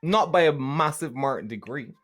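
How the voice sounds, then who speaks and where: A man's voice: fairly high pitch, lively intonation, fairly bright tone. Male podcast co-host, casual, explains things from home. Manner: explains slowly, repeats words while thinking. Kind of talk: casual chat.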